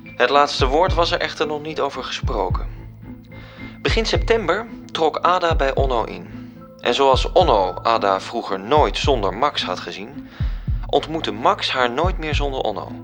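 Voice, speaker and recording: A man from the Netherlands performing as a literary narrator in a radio play, telling the story in a measured way. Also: mid-range voice, animated, fairly bright tone, faint music behind. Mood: measured, storytelling.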